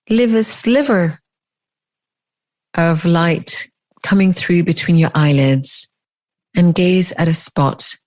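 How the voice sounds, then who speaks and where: A woman leading a guided meditation as a teacher, speaking in deliberate phrases with long pauses. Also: lowish voice, darkish tone.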